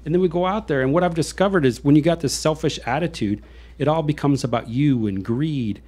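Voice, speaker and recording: A man's voice: on the high side, brisk, a little mellow. A man with an American accent hosting a conversational interview, speaking earnestly in a rambling way with repeated phrases. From Iowa, United States. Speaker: show host. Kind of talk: conversational interview with personal reflection.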